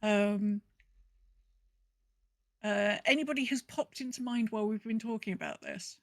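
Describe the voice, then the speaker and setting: A woman's voice: a little high, measured pace, no echo, studio quality. A woman co-hosting a podcast, chatting casually and reading out listener submissions. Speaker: podcast co-host.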